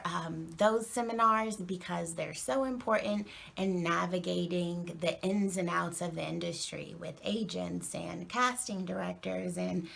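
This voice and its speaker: A woman with a naturally soft voice talks slowly and earnestly about her coaching work, pausing on frequent ums. Her pitch sits on the low side and her voice drags into a very strong gravelly fry. It sounds like a recorded statement. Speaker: acting and presentation coach.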